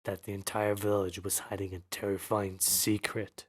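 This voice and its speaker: A man's voice: fairly low pitch, level tone, moderate pace, natural voice. A man voicing a grim, secretive town alderman from a fantasy game, with a foreboding delivery. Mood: ominous. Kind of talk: amateur voice-over of game dialogue.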